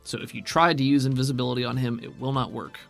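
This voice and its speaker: A man's voice: mid-range voice, steady tone, fast, gravelly, fairly bright tone. A man running a tabletop role-playing game, narrating scenes and explaining rules in a conversational, explanatory way. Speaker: game master. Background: music.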